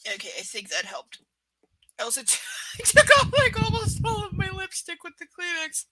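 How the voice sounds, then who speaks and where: A woman's voice: extremely high pitch, sing-song, normal speed, heavy background noise. A woman reading a novel aloud live from home on camera, breaking off for chatty, sarcastic commentary. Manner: sarcastic, chatty asides between read passages. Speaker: book reader and streamer.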